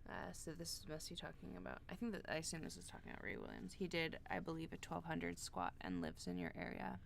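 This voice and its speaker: A woman co-hosts a casual chat show, reading viewer questions aloud. Her voice is low and heavily gravelly with vocal fry, and she pauses often on "um.". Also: very animated, slight background noise. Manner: hesitant, with many "um"s.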